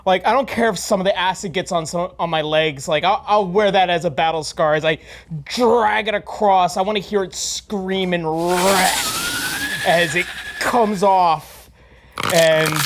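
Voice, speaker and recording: A woman's voice: on the low side, unhurried, background noise. A woman player in a tabletop role-playing game, describing and acting out what her character does.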